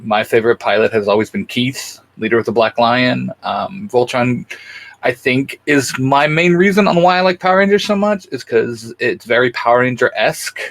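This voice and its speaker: A man's voice: mid-range voice, creaky, a bit bright. A man co-hosting a YouTube show, talking casually about his favourites. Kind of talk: casual chat about cartoons.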